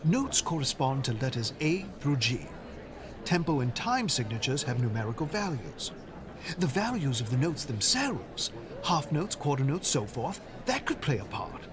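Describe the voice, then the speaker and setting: A man's voice: medium pitch, big pitch swings, moderate pace, a crowd in the background, lightly acted. A man playing a polite, eager young Englishman. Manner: polite, rambling, enthusiastic.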